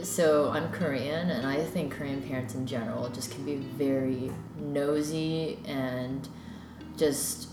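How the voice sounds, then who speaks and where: Chatty young American woman, low-pitched, noisy echoey room. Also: slow. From United States. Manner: chatty, filler-heavy, animated when quoting parents. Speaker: interviewee. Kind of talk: casual conversation. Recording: echoey room with background noise.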